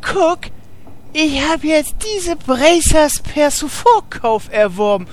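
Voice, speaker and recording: An actor playing Horst, a big, strong but naive warrior who keeps asking puzzled questions, in a comedy radio play. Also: male voice, extremely high pitch, lively intonation, slow pace, exaggerated voice.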